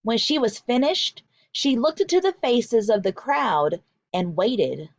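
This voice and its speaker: Animated high-voiced woman reading to children. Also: no echo, dull audio. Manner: deliberate, emphatic storytelling for young children. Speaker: teacher reading a picture book aloud. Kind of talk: expressive children's read-aloud, instructional.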